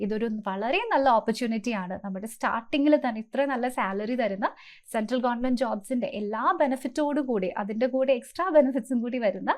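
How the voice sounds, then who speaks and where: A woman speaks in a somewhat high-pitched voice. She explains things step by step as a coaching presenter would, in an instructive way. English terms are mixed freely into her sentences. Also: quick pace, darkish tone. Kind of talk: explanatory presentation to camera for exam aspirants.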